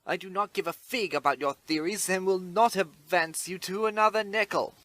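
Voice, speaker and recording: Man acting a pompous, sharp-voiced wealthy financier. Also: high-pitched, normal speed, dry recording. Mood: indignant. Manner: pompous, scornful. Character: blustering wealthy male financier, scornful father. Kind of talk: comic dub voice acting.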